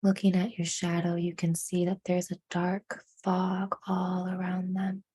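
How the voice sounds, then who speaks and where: Woman doing guided meditation, real slow and soft, husky low-ish voice, soothing. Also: completely flat delivery. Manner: hushed, soothing, deliberate phrasing with frequent pauses.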